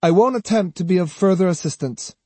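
A man's voice: high-pitched, fairly quick, warm tone, no echo, voice pushed up. A man voicing an arrogant, boastful young swordsman with a pompous, sneering and emphatic delivery in a storybook reading. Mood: blithe, needling. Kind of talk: dramatised audiobook storytelling.

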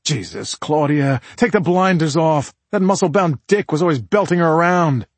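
A man narrator plays a hot-tempered husband in a crime audiobook. He talks in blunt, crude slang, pitched up and pushed louder, in a dry recording. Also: expressive, normal speed, dry recording. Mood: exasperated.

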